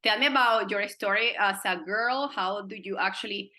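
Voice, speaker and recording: A woman's voice: mid-range voice, moderate pace, bright, forceful tone. A woman hosting a podcast, asking her guest long, encouraging questions with frequent restarts. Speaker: podcast host. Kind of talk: interview questions.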